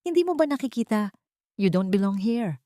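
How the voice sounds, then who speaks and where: A woman's voice: medium pitch, big pitch swings, normal speed, dark tone, no echo, clean studio sound, voice pushed up, close to an everyday voice. A woman voicing an angry, cold husband who speaks with contempt and warning in an audio story. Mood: angry, threatening. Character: angry, cold husband, ashamed of his wife.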